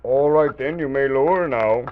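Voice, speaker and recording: An actor playing a kindly enchanted knight who guides visitors in an old children's radio serial, speaking in a measured, formal, explanatory way. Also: male voice, medium pitch, close to an everyday voice. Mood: calm, courteous. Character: kindly male enchanted armored knight guide, patient and stately. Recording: noisy, dull vintage radio recording.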